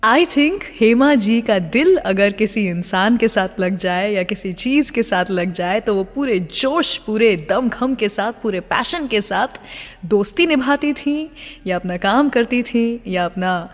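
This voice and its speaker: A woman's voice: mid-range voice, animated, measured pace, big-room sound. An Indian woman presenting a radio show conversationally, addressing listeners directly with questions and mixing English words into her speech.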